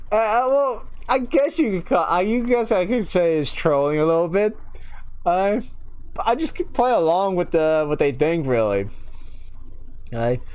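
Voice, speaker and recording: A young man streaming live, rambling casually to his viewers and often losing track mid-thought. His voice sits a little high and sounds smooth and clear, with a slight unusual lilt. He chuckles often as he talks. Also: expressive, takes it slowly, long pauses, a little mellow. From United States (New York). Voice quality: slight unusual lilt left from a childhood speech impairment.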